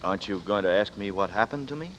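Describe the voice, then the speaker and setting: A man's voice: lowish voice, animated, a bit bright, slight background noise. An actor playing a hesitant, bewildered man drawn into danger, in a 1940s radio drama. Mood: uneasy. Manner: hesitant, halting speech. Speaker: first-person narrator and lead. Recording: dull lo-fi old radio broadcast. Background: music score.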